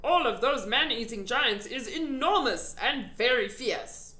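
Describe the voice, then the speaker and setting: A friendly old storybook giant, played by a woman reader, talks in excitable, emphatic bursts with quaintly mangled grammar. The voice is bright and leaps around in pitch. It sounds in an echoey room. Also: unhurried. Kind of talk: children's storybook reading.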